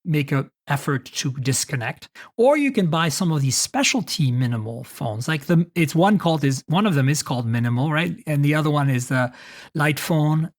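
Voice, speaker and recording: A podcast host in their fifties, asking and explaining in a relaxed, conversational way, often tagging "right?". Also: mid-range voice, normal speed. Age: 50s. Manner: conversational, tags questions with "right?". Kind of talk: casual podcast interview.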